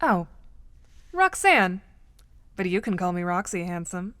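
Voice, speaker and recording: A woman's voice: on the low side, sing-song, measured pace, fairly bright tone, dry recording, studio quality. A woman playing a flirty, easygoing mother in an audio drama, with a casual, slightly hesitant way of talking. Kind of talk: audio drama character acting. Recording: clear background noise.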